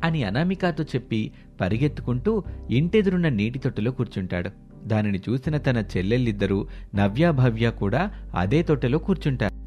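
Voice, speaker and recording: A man's voice: medium pitch, lively intonation, a little fast, dark tone, highs cut off. Man narrating a family story over music.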